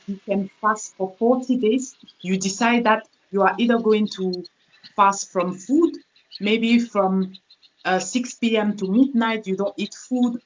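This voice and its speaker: A woman in her early thirties from Africa, talking earnestly and casually as an interview guest. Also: mid-range voice. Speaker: interview guest, TV producer and author. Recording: muffled lo-fi online call audio.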